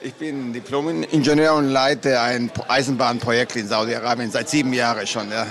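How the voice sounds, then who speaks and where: Guest from argentina, middle pitch, kinda hesitant answers. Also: male voice, steady tone, normal speed, fairly bright tone.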